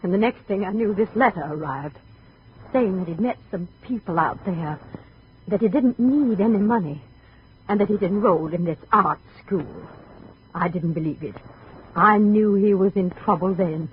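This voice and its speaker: Woman acting possessive middle-aged mother, fretful, dramatic, medium pitch, dull noisy vintage radio sound. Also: normal speed, natural voice. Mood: wounded, fretful. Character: possessive, anxious, self-pitying wealthy mother of grown son.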